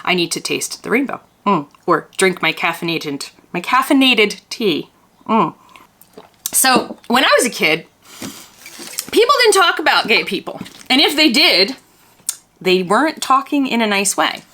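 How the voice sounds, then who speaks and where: A woman in her fifties talks to camera as she unboxes a collector's doll. Her voice is bright and clear. She is earnest and chatty, stumbling over her words and wandering into asides. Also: mid-range voice, sing-song, unhurried. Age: 50s. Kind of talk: casual chat while unboxing.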